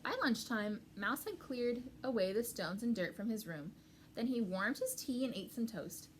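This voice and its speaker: A woman reading a children's story aloud in an expressive narrating style for young listeners. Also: fairly high pitch, moderate pace, a little hiss or hum, poor audio.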